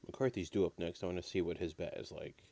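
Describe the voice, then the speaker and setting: A man with a slightly raspy voice, getting over an illness, giving low-key, casual live baseball commentary as a stream host. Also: steady tone, quick pace, warm tone.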